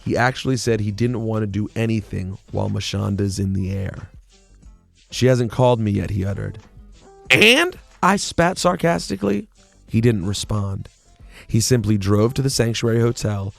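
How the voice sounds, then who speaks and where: A man's voice: fairly low pitch, gravelly, no room sound. A man voicing a sultry, overdramatic woman narrating a memoir of her affair, in a theatrical reading. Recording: music playing behind the voice.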